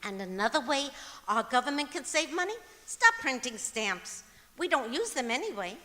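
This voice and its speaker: A woman's voice: high voice, very animated, moderate pace, sharp tone, heavily acted. A woman playing a scatterbrained, naive wife in an old-time radio comedy, cheerfully and earnestly illogical. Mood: bright, confidently illogical. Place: large auditorium stage, live performance. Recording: echoey auditorium stage recording.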